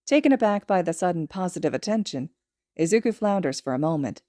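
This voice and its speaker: A woman's voice: on the low side, very animated, fast talker, mellow, dry recording, studio quality. A woman reading a story aloud as scripted audiobook narration. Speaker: podfic narrator.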